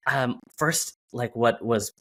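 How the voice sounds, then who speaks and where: A man's voice: mid-range voice, slow, bright, forceful tone. A man from western Canada speaking as a podcast guest, thoughtful and searching as he reflects, often restarting his phrases. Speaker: podcast guest, design strategist. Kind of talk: reflective conversational interview.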